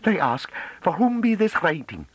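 A man's voice: high voice, very animated, moderate pace, breathy edge, no echo, voice pushed up, full character voice, low-quality recording. A man voicing a young unschooled farm woman who speaks in broken dialect, bashful but fierce. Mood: earnest. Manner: broken dialect speech, earnest and pleading.

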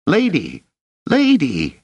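A man voicing a scrappy, defiant young street boy in an audiobook, with squeaky, slangy, ungrammatical speech. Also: sing-song, measured pace, no echo. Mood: excited, urgent. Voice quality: squeaky, high shouting. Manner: slangy, ungrammatical, dropped endings.

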